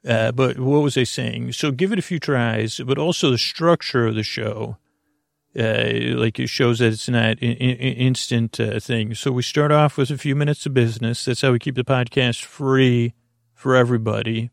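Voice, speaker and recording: Male sleep podcast host: gravelly creak, close dry sound, soothing and wandering monologue. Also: mid-range voice, fairly even intonation, dry recording. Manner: meandering, halting, soothing, full of tangents. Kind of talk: slow rambling bedtime monologue.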